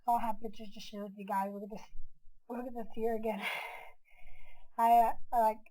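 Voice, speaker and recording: A woman's voice: a little high, little pitch movement, moderate pace, mellow, poor audio. A young woman chatting casually in a vlog. Her voice is nasal and congested from a cold, and she rambles with many filler words. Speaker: vlogger. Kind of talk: casual chat.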